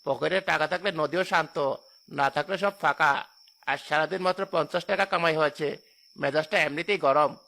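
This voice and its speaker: Brash money-hungry boatman for cartoon, played by a man: bright, forceful, high, scornful. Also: normal speed, creaky. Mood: scornful boasting. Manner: boastful, scornful. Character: brash, greedy boatman who scoffs at superstition. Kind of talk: cartoon horror story acting.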